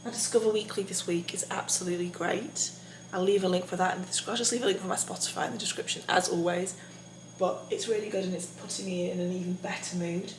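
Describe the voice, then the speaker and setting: A young woman with a husky, raspy voice from tonsillitis, chatting upbeat to her vlog viewers with lots of ums. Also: on the low side, fairly even intonation, fast talker, slight background noise. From England. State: recovering from tonsillitis. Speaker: vlogger. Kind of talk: casual vlog chat. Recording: echoey room.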